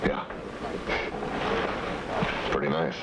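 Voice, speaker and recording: An actor playing a tough middle-aged American police detective with a clipped, unruffled manner in a 1950s TV crime drama. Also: male voice, drawn-out words. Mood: cool warning. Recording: noisy muffled 1950s TV film soundtrack.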